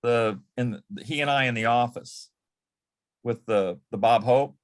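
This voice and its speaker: A man telling anecdotes as a guest on a panel, in a halting way, often repeating words and restarting his sentences. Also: mid-range voice. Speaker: panel guest, former personal assistant to an entertainer. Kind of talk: anecdotal storytelling in a panel interview.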